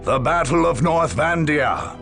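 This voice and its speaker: An actor narrating as a grave war chronicler in a video game, with a portentous, measured, dramatic delivery. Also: male voice, a little high, vocal fry, a bit bright, faint music behind.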